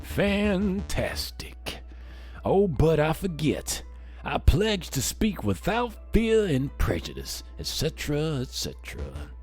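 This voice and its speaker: A pompous, slow-talking aristocratic baron. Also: male voice, fairly high pitch, wildly swooping pitch, darkish tone, faint music behind, exaggerated voice. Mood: haughty, smug. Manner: grandiose, drawn-out, theatrical. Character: pompous aristocratic older baron witness, smug and grand.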